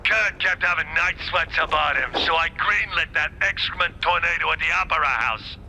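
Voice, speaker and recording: A scheming cartoon crime boss in a noir game, with a very sharp, high voice. He sounds brash and sneering, over faint background noise. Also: normal speed, rough recording. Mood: contemptuous. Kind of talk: noir cartoon video game villain acting.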